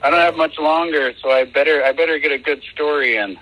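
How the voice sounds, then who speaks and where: A man's voice: on the high side, steady tone, quick pace, a bit bright, rough recording. A man calling in to a podcast, joking in a mock-earnest, provocative way during casual chat. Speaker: podcast caller.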